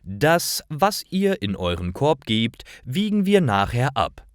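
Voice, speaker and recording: A man's voice: medium pitch, sing-song, slow pace, clean tone, no room sound, voice pushed up. An old farm woman, as a male narrator reads her, explains things in a matter-of-fact storybook tone. The voice is lifted a little, instructive and dry. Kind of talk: storybook reading for language learners.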